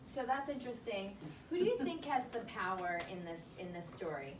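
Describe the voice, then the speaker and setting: A woman's voice: mid-range voice, very animated, moderate pace. A woman teaching elementary school, leading a class discussion with prompting questions and short, directive instructions. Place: indoor classroom with children. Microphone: distant room microphone. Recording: echoey, noisy classroom, distant microphone, children chattering.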